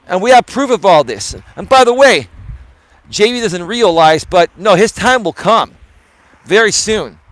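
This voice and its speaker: A man live streaming outdoors on his phone while walking, talking to viewers in a rambling, emphatic way, sounding tired and a little hoarse. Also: sits high, lively intonation, unhurried, a bit bright, no echo. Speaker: life coach and live streamer. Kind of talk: casual live stream talk to chat. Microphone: phone camera held while walking.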